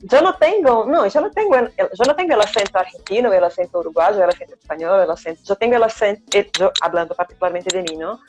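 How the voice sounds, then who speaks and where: A woman's voice: mid-range voice, expressive, quick pace, hardly any pauses, a little mellow. A middle-aged Brazilian woman, a Spanish teacher speaking Spanish with a Brazilian accent, who thinks aloud with many hesitations and tag questions. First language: Portuguese. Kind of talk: casual chat on a live stream. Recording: dull lo-fi stream audio, faint music.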